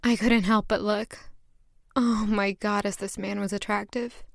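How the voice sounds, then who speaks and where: A woman's voice: mid-range voice, little pitch movement, measured pace, creaky, no room sound, clean studio sound. A woman playing a flustered, demure young maid given to romantic daydreams in an audio drama. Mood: smitten, reflective. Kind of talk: audio drama acting with first-person narration.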